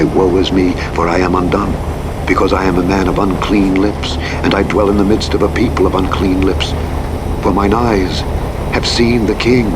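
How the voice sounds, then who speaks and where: A man reading scripture as a narrator in a solemn, measured, declamatory manner. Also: fairly low pitch. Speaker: audiobook Bible narrator. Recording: engine and road noise over playback. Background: truck simulator vehicle noise.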